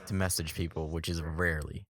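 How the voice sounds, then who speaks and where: A man's voice: rumbling low, little pitch movement, brisk, fairly bright tone. A young man co-hosting a podcast, musing in laid-back casual chat. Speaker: podcast co-host.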